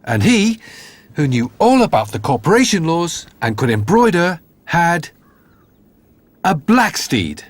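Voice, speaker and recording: A man's voice: fairly high pitch, big pitch swings, measured pace, slight background noise. A man narrating a scripted fairy tale as a storyteller.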